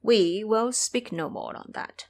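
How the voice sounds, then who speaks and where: A woman's voice: medium pitch, slow. A woman reading scripted storybook narration for an audio drama.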